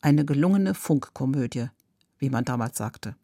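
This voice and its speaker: A man giving a scripted radio introduction as presenter, in a measured, informative manner. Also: mid-range voice, expressive, warm tone, dry recording, studio quality.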